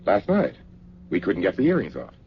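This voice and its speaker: An actor playing a socialite's patient, slightly wry husband in an old-time radio drama. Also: male voice, sits low, wildly swooping pitch, moderate pace, a little hiss or hum, exaggerated voice. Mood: puzzled, uneasy. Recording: muffled old radio recording.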